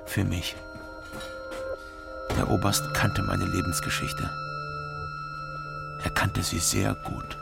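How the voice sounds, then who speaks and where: An actor playing an imprisoned private detective in a German radio crime drama, terse and deliberate. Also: male voice, deep voice, level tone, gravelly, over loud music, full character voice. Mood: brooding narration. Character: indignant imprisoned East Berlin private detective, weary first-person narrator.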